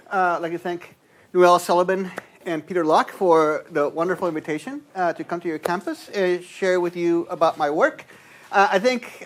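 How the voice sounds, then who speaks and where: A man from Guatemala giving a university lecture as a guest anthropologist, talking conversationally and tagging his points with "right?". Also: high voice.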